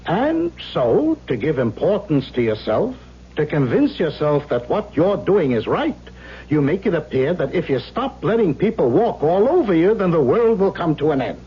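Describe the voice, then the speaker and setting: An actor playing a probing, challenging doctor in an old-time radio drama, speaking in a pointed, analytical way. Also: male voice, on the high side, big pitch swings, moderate pace, breathy edge, heavily acted. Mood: probing, insistent. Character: probing, challenging male doctor lecturing his patient. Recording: muffled, humming old radio recording.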